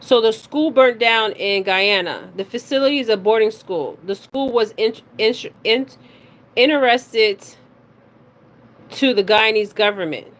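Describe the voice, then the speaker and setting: A woman hosting a casual spiritual reading, speaking haltingly, stumbling over words and often checking in with her listeners. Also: on the high side. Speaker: spiritual reader and card reader hosting her own video. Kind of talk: casual psychic reading, reading news details aloud. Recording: clearly noisy recording with background ambience.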